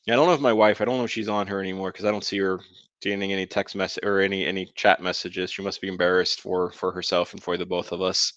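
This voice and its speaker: A man's voice: sits low, little pitch movement, fast talker, a bit bright, no room sound. A man streaming a game, chatting casually with his viewers and laughing often. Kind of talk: casual live-stream commentary. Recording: dull lo-fi dry sound.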